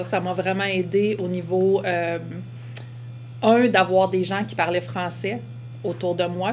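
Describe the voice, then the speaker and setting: A middle-aged woman from Québec with a Québécois accent, calmly sharing a reflective personal testimony about working in education. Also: fairly low pitch, slight background noise. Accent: Québécois French.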